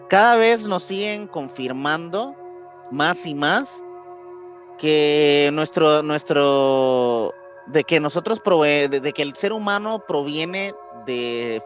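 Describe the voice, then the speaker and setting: A man with a Mexican accent chatting casually as a podcast co-host, halting and searching for words in slangy speech. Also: a little high, expressive. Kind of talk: casual podcast chat about ancient mysteries. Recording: music behind the voice.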